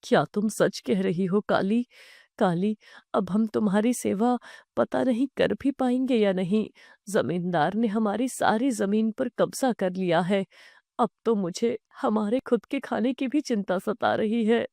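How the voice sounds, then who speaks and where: A woman's voice: mid-range voice, unhurried, vocal fry, dark tone, dry recording, studio quality. A woman voicing an honest, humble male farmer with an earnest, pleading manner. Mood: worried.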